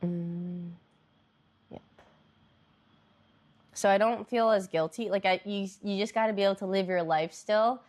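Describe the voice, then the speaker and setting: A woman's voice: mid-range voice, steady tone, unhurried, long pauses, a little mellow. A young woman streamer chatting casually with viewers, congested and nasal, rambling with lots of fillers.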